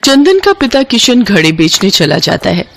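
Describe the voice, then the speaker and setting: A woman's voice: medium pitch, sing-song, moderate pace, creaky. A woman narrating a scripted children's moral story.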